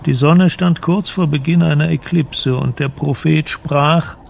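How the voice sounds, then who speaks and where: An actor as a grave storyteller, delivering scripted literary narration for a radio drama. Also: male voice, a little high, steady tone, unhurried, creaky, darkish tone, slight background noise.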